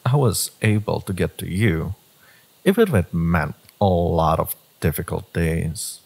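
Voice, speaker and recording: A man performs as a dark, devoted villain in an intimate romance roleplay. His low voice is mellow yet very breathy and husky. He speaks with deliberate, possessive intensity. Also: lively intonation, full character voice. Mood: intense, devoted. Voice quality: husky, gravelly. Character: brooding, devoted, possessive male villain lover. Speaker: audio roleplay voice actor. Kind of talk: dramatic romance audio roleplay monologue.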